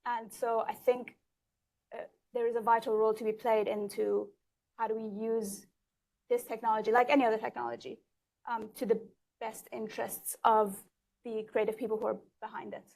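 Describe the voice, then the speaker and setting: A woman speaking on a panel at a live event, explaining her work in a measured way. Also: fairly high pitch, level tone, vocal fry, darkish tone. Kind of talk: panel introduction. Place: event stage in a large hall.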